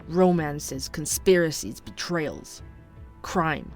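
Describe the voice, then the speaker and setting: A man's voice: a little high, lively intonation, takes it slowly, faint music behind. An actor playing an earnest, curious young man who hosts an amateur podcast, narrating an audio drama in a musing way. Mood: brooding, poetic.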